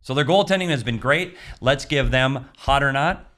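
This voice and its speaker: A man co-hosting a sports talk show, speaking at a middle pitch. He talks in an easygoing, emphatic way, often restarting and doubling up words as he makes his point. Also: normal speed, fairly bright tone. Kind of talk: casual hockey chat.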